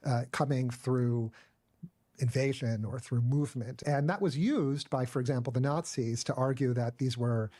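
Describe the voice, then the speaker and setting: A man speaking as an interviewed scientist, explaining in measured academic phrasing. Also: medium pitch, steady tone, warm tone. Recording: played-back video, faint music behind.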